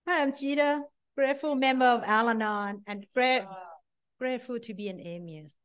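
A woman's voice: a little high, normal speed, clean tone. A middle-aged woman from Thailand with a Thai accent, giving a blunt, self-deprecating personal testimony at a meeting in short punchy phrases. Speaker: speaker at a support-group meeting.

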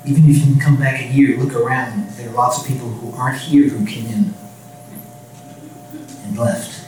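A middle-aged man with a low voice giving a halting, soft-spoken personal share to a meeting, with self-deprecating humor, speaking into a handheld microphone in a meeting room. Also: fairly even intonation. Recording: echoey room, distant mic, faint music.

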